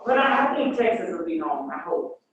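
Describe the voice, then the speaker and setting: A woman's voice: lowish voice, very animated, brisk, very echoey, slight background noise. Woman, 50s, from Illinois, academic advisor, chatty, distant mic, dull lo-fi sound. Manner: chatty, friendly greeter. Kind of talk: casual chat with students before a workshop.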